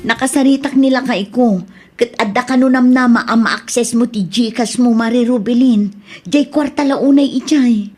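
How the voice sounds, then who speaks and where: A worried Ilocano wife , played by an actress, speaks in a high-pitched voice. She is insistent, pressing with questions in radio comedy drama style. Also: normal speed, a little mellow, lightly acted. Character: worried, insistent wife who confronts her evasive friend.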